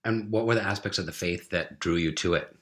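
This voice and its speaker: Deep-voiced, playful male podcast host. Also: a little fast, a bit bright. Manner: playful, encouraging interviewer. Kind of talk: interview.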